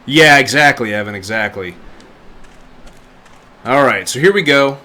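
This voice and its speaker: A man giving informal live commentary on a video game, reacting out loud as he plays. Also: medium pitch, lively intonation, unhurried. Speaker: game livestreamer. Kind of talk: casual gameplay commentary.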